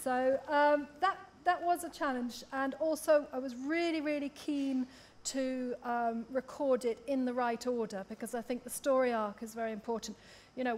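A woman speaking informally and chattily as a panellist, telling anecdotes. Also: high voice. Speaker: panellist, radio drama director. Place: theatre stage, seated panel. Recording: live stage, clear background noise.

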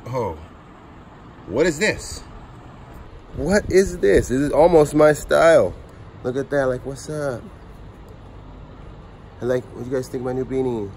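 A man in his forties, chatty and excited, talking to viewers while unboxing outdoors beside storage units. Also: mid-range voice, measured pace, long pauses. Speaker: storage auction reseller. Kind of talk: casual unboxing vlog. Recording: outdoor noise behind voice.

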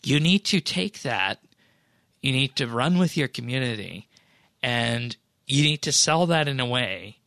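A man co-hosting a podcast, chatting casually about game news in a measured, hesitant way. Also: medium pitch, gravelly, pushed and bright, dry recording. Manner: measured, hesitant, drawn-out phrasing. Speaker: podcast co-host. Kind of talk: casual gaming news chat.

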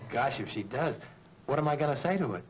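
Actor as a jittery, boyish American sergeant. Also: male voice, mid-range voice, animated, moderate pace, close to an everyday voice. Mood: nervous, eager. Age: young adult. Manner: colloquial, boyish. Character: jittery, affable wounded sergeant, new father. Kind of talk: 1940s film acting. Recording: slightly noisy old film soundtrack.

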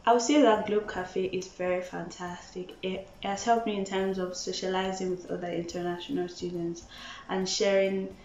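A woman's voice: medium pitch, flat delivery, moderate pace, a little mellow, slight background noise. A woman who is a law student, speaking enthusiastically from a student bedroom. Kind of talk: casual testimonial. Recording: roomy, dull lo-fi webcam sound.

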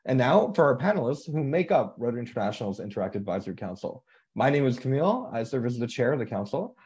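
Young male moderator, upbeat and encouraging, mellow tone, muffled call audio. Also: mid-range voice, big pitch swings, fast talker. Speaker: council chair and moderator. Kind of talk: moderating a live video panel. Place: home room. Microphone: video call.

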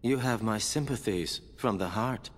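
Casting: sinister mocking villain, unhurried, medium pitch, game cutscene in long reverb. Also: male voice. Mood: mocking sympathy. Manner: mock-sympathetic, menacing. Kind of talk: video game cutscene acting.

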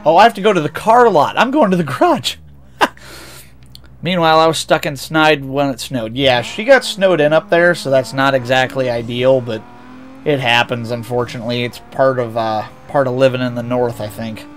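A man's voice: mid-range voice, moderate pace, faint music behind. A young man with a Midwestern American accent, chatting with viewers while streaming a racing game, rambling and correcting himself as he goes. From United States. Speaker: VTuber streamer.

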